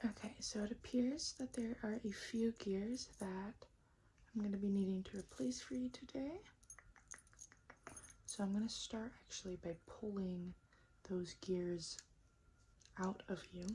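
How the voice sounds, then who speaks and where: A woman doing a whispered ASMR roleplay in a breathy, mostly unvoiced voice, softly repeating little words and phrases as she explains each step. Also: medium pitch, unhurried, long pauses, a little hiss or hum. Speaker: ASMR creator playing a robot repairer.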